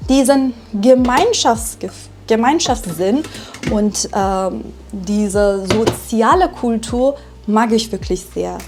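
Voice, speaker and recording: A woman from Iran, a German teacher, speaking slowly and clearly in a vlog for learners and often checking in with tag questions. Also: on the high side, lively intonation, over music. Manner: deliberate, with frequent tag questions.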